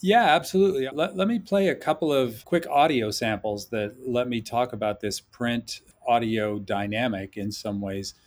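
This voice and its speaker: A man talking as a podcast guest and instructor, thoughtful and measured, often hedging his points. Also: mid-range voice. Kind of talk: podcast interview talk.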